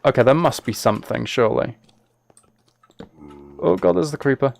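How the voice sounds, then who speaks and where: A man's voice: mid-range voice, lively intonation, moderate pace, vocal fry. A man live streaming a video game, chatting casually and thinking aloud in an understated, rambling way. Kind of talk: casual gameplay livestream chat. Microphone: microphone set up in front of him.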